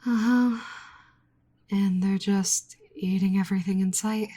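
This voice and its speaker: Woman voicing a breathy, flustered slime-girl scientist. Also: medium pitch, fairly even intonation, unhurried, warm tone. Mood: exasperated, pleading. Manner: rambling, stammering, exasperated and fussy. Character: flustered, nerdy slime-girl researcher living in a swamp. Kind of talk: ASMR roleplay acting.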